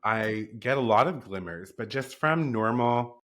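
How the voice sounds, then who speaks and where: A man's voice: medium pitch, expressive, measured pace, fairly bright tone. Effusive male podcast host. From East Coast of North America. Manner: enthusiastic, effusive, frequent "um" and "like". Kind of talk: casual interview chat.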